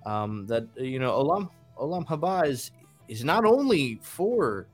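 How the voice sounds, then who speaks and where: A man of middling pitch talks through a personal question slowly and carefully. He is co-hosting a relaxed discussion podcast, and faint music plays underneath his voice. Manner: thoughtful, weighs words carefully. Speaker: podcast co-host. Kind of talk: casual religious discussion.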